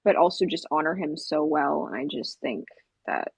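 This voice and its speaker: Young woman, lower-pitched and slightly husky. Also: expressive, normal speed, dry recording. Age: young adult. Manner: earnest, reflective, frequent "like" and "just". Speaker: talk show panelist. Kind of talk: casual discussion.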